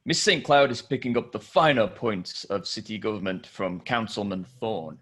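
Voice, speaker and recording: A man playing a suave, courteous millionaire in a script table read, measured and polite. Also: fairly low pitch, fairly bright tone.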